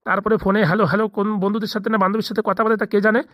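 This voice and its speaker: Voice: mid-range voice, little pitch movement, rapid-fire, a bit bright, dry recording, clean studio sound, lightly acted. A performer playing a fretful, kindly middle-aged landlord and parent in a comedy cartoon, chatty and fussing, with a colloquial Bengali accent. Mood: complaining.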